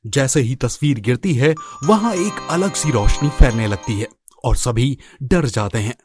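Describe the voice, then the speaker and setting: Male narrator for cartoon moral tales, lively swooping delivery, clear background music. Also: mid-range voice, a little slow. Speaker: story narrator. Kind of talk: expressive scripted storytelling narration.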